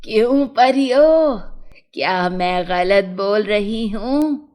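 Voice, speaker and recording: A woman's voice: medium pitch, expressive, drawn-out words, darkish tone, pitched below the performer's own voice. A woman voicing an elderly fairy in a children's story, arguing firmly and emphatically for justice. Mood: loud, rousing.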